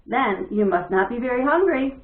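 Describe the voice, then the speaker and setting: A woman's voice: a little high, lively intonation, normal speed, narrow, tinny audio, close to an everyday voice. Woman reading as teasing big sister, echoey thin audio. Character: teasing, bossy older sister, a girl.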